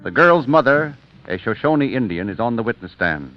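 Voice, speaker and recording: A man narrating a scripted story for old-time radio in a formal, deliberate manner. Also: medium pitch, very animated. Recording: dull old radio recording, music.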